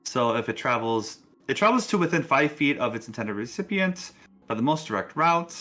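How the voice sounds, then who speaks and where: A man acts as game master for a tabletop roleplaying session. His voice is bright and clear-cut, and he explains the scene in a casual, conversational manner. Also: mid-range voice, big pitch swings, normal speed.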